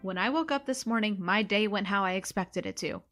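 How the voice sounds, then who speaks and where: A woman's voice: medium pitch, fairly quick, fairly bright tone, faint music behind, natural voice. A woman playing a sarcastic, irreverent young recruit in a sci-fi comedy audio drama, casual and flippant. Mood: wry. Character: snarky, irreverent young woman, reluctant space recruit and narrator. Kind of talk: comedy sci-fi audio drama, first-person narration and dialogue.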